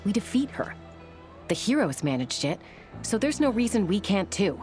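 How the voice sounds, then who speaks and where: A woman's voice: mid-range voice, very animated, moderate pace, darkish tone, faint music behind, close to an everyday voice. A woman playing a loyal, resolute young scout in a video game, frank, earnest and firm.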